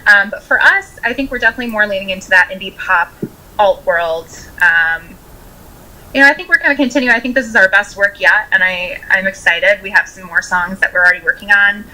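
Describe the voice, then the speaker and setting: A woman's voice: medium pitch, fairly quick, pushed and bright, rough recording. A woman in her twenties with an American accent, chatting casually as a musician being interviewed, often saying "like" as she talks. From Rochester, United States. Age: 20s.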